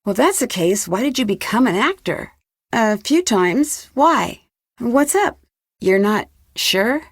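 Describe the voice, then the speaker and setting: A young American woman voicing a polite, inquisitive amateur detective in a scripted adventure game, composed and clearly spoken. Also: on the high side, animated, takes it slowly, no echo, studio quality, exaggerated voice. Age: young adult.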